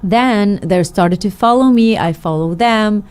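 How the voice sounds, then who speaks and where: A woman's voice: medium pitch, a little slow, darkish tone, smooth. A middle-aged woman, a singer, telling her story in an interview with many fillers and restarts. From Israel. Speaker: guest, singer. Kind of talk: interview conversation.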